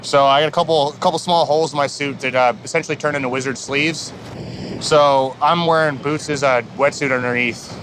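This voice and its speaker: A man, talking casually and bluntly as a self-deprecating commercial diver outdoors. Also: mid-range voice. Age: 20s. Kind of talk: casual reality show interview. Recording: noisy outdoor location with engines.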